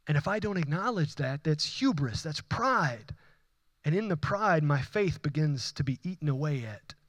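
Pastor guy preaching hard, bright edgy voice, kinda high, dry close sound. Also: dry recording. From Houston, Texas. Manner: impassioned, repetitive preaching with rising emphatic runs. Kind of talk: sermon. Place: church.

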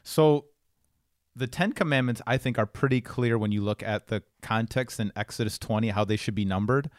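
A man's voice: mid-range voice, normal speed, no echo, studio quality. A man co-hosting a podcast, talking casually and explaining things as he goes. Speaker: podcast co-host.

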